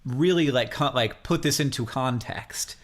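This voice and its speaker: A man co-hosting a podcast in casual, joking chat, talking in a halting way with rephrasings. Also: mid-range voice. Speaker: podcast co-host.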